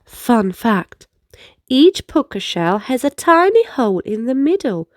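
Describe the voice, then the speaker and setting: A woman reading a children's book aloud as narrator, in an expressive storytelling manner. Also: a little high, unhurried, dry recording, clean studio sound. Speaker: storybook reader.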